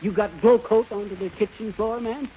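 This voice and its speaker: A man's voice: sits high, fairly even intonation, moderate pace, natural voice. An actor playing a brash, folksy, uneducated American kennel man, slangy and familiar, in 1930s radio comedy style. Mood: breezy, folksy. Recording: very noisy, muffled 1930s radio recording.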